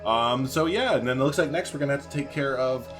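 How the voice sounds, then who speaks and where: A man's voice: mid-range voice, fast talker, over music. A man hosting a let's-play video, chatting informally and thinking aloud as he plays. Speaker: gaming video host. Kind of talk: casual let's-play commentary. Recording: faint background noise and game ambience.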